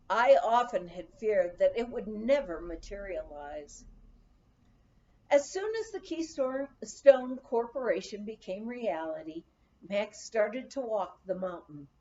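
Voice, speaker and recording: A woman reading a memoir aloud as an audiobook, with an expressive, deliberate storytelling delivery. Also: medium pitch, lo-fi sound. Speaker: audiobook reader. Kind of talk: scripted memoir narration, read aloud.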